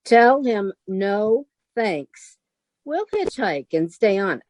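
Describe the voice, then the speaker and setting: A woman's voice: mid-range voice, lively intonation, slow pace, darkish tone, dry recording. A woman playing a sensible, exasperated roommate in an old-time radio comedy, with a wry, patient delivery. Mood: dry exasperation.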